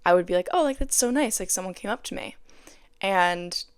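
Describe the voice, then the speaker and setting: A young woman hosting her own podcast, talking casually and sounding tired, with a raspy voice and lots of "like" in her speech. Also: medium pitch, animated, fairly quick, dry recording, studio quality. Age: young adult. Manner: chatty, peppered with "like". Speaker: podcast host. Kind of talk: casual solo podcast chat.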